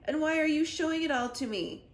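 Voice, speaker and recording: A woman's voice: high-pitched, a little fast, voice pushed up, natural voice. A woman voicing an earnest, indignant young boy spy from a children's storybook, in an emphatic way. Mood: indignant, startled. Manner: emphatic, exclaiming. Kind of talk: children's storybook read-aloud. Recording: roomy echo with clear background noise.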